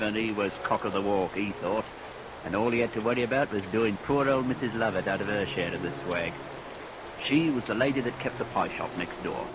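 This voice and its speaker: A man performs a wry storyteller in an old radio horror play. His low voice relishes each grisly detail in folksy language. The recording is noisy. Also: lightly acted. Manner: wry, colloquial. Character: folksy, relishing male narrator of grisly tale. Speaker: narrator.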